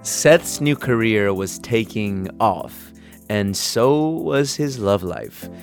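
A man's voice: lowish voice, expressive, takes it slowly, creaky, soft background music. A man narrating a podcast story in a wry, upbeat way.

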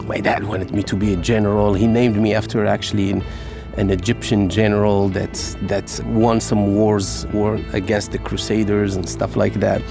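A man's voice: lowish voice, moderate pace, gravelly, hardly any pauses, music in the background. A man in his fifties with a Moroccan accent, a native Arabic speaker, talking casually and playfully as he serves food. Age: 50s. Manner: energetic, joking, with playful asides. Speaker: chef and restaurant host being interviewed. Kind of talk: casual storytelling while serving food.

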